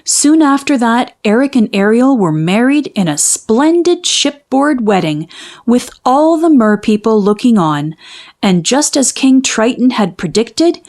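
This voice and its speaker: Woman, children's read-aloud narrator, higher voice, slow pace, expressive storytelling. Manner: expressive, measured storytelling delivery.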